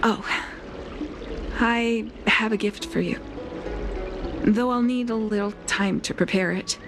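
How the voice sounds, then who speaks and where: A stoic woman alchemist in a fantasy game. She speaks very slowly and formally at a middle pitch, with a bitter weight and deliberate pauses, over clear background music. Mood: calm, gentle. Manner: measured, formal, solemn delivery with deliberate pauses. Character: blind woman chief alchemist, long-lived, stoic, quietly bitter. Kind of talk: video game story dialogue.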